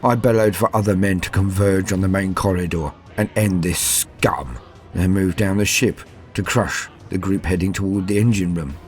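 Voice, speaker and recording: A man performs an arrogant military villain telling his own horror tale. The voice is low and theatrical, leaning hard on key words with dramatic pauses. Faint music runs underneath. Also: lightly acted. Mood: enraged. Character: arrogant male villain officer sinking into dread. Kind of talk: dramatic first-person horror storytelling.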